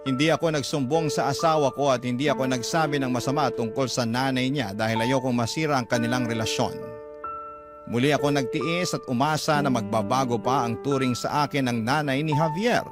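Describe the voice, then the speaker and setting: Casting: Filipino man, mid-pitched voice, radio host narrating a dramatic letter-style story, clear music bed. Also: fairly quick. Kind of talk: dramatic scripted first-person narration.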